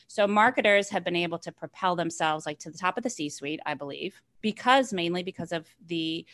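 An energetic woman in her forties, chatting as a podcast guest. She gets loud and animated when she is excited, and she tells her stories with a lot of eager enthusiasm. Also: lowish voice, a little fast. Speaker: podcast guest, marketing executive. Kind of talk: casual interview chat.

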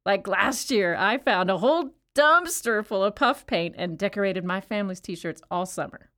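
A woman's voice: medium pitch, moderate pace, bright, forceful tone, close to an everyday voice. An actress playing a chatty, rambling woman inmate in an audio drama, telling stories in a wry tone. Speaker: actor in an audio play.

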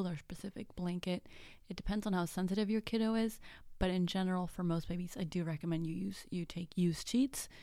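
A woman's voice: on the low side, warm tone, no echo, studio quality. A woman in her thirties from Mexico whose first language is Spanish, hosting a casual solo podcast in a chatty, self-deprecating way with jokey asides. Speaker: podcast host.

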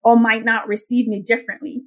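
A woman's voice: on the high side, little pitch movement, brisk. Nigerian woman in her thirties. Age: 30s. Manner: earnest, often adds "right?". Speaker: guest. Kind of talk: reflective interview talk.

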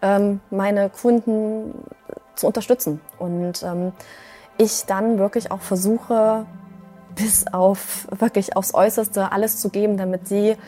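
A woman in her early thirties from Göttingen, an earnest nutrition coach who pauses often with fillers. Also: mid-range voice, fairly even intonation, dark tone, faint music behind. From Göttingen, Germany. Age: 30s. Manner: earnest, many hesitation fillers. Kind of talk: recruiting video interview.

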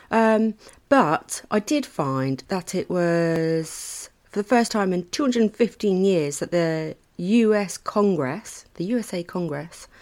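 A woman in her thirties with a slightly low voice talks through her own life on a solo podcast. Her delivery is rambling and candid, full of asides and casual swearing, and she laughs at her own anecdotes. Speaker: podcast host.

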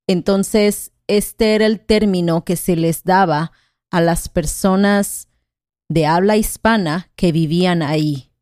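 Slow, thoughtful Mexican-born woman. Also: on the low side, a little mellow, no room sound, studio quality. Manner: thoughtful, hesitant, with frequent "¿no?" tags. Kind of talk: casual conversational chat.

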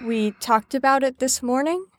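An actress playing an earnest, dutiful female clinician in an audio drama, measured and clinical. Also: sits high, no room sound, lightly acted. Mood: puzzled, insistent.

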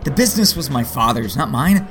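A man's voice: medium pitch, expressive, fairly quick, a bit bright, natural voice. An actor playing a rich, sardonic yet earnest man in his late thirties in an audio drama. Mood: wry. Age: 30s. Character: rich, sardonic, earnest middle-aged male vigilante heir. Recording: long hall reverb, faint music behind.

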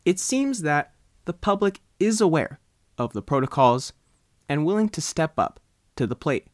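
A man's voice: fairly high pitch, sing-song, moderate pace, dry recording, studio quality. An American man reading a scripted news article aloud as a reporter.

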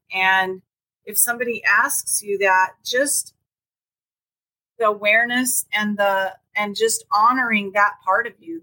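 A woman co-hosting a podcast in casual chat, talking hesitantly with many pauses and ums. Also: mid-range voice, low-quality recording. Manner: hesitant, many ums, soft-spoken openness. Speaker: podcast co-host.

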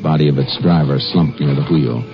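A calm, shrewd Texas lawman in an old radio western. His American voice is very low and his delivery measured and laconic. The recording is dull and aged, with music clearly present. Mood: grave narrating. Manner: measured, laconic, matter-of-fact. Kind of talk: 1950s radio western acting and narration.

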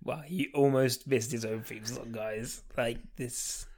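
A man's voice: medium pitch, steady tone, unhurried, a little mellow. A man co-hosting a podcast in casual chat, wry and rambling as he airs his opinions, with many ums and likes. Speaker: podcast co-host.

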